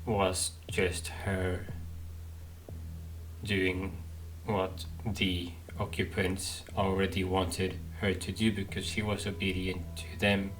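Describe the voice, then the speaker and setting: Slow, low-voiced man thinking aloud. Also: little pitch movement, low-quality recording. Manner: halting, thinking aloud with long pauses. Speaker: gaming playthrough host. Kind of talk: thoughtful live game commentary.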